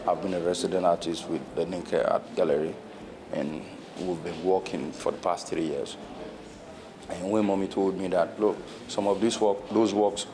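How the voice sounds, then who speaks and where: Male artist interviewee, deep voice, halting unscripted delivery amid audible crowd noise. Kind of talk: spontaneous interview talk. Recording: busy crowd behind voice.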